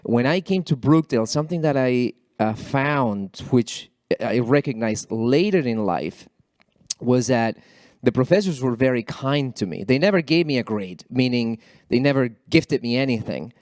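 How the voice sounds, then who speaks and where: A man whose first language is Spanish, speaking as an alumni panelist at a college event in a halting, earnest way with many repeated words. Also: mid-range voice, lively intonation, a little mellow, no room sound, clean studio sound. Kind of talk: panel discussion remarks.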